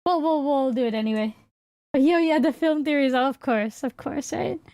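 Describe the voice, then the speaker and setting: A woman's voice: high-pitched, moderate pace, darkish tone, dry recording, clean studio sound. A woman livestreamer chatting casually with viewers, thinking aloud in a hesitant, rambling way. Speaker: livestreamer and VTuber host.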